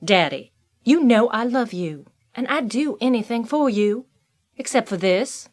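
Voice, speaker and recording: An actress playing a determined, defiant grown daughter in an audio soap drama. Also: female voice, fairly high pitch, animated, unhurried. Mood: pleading, firm. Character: adult daughter, married, determined, pleading then defiant.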